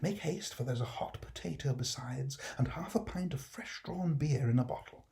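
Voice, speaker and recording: A man voicing a gentle, cheerful young working-class woman, fondly teasing and eager, in a storybook reading. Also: medium pitch, lively intonation, normal speed, gravelly. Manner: soft, delighted, eager.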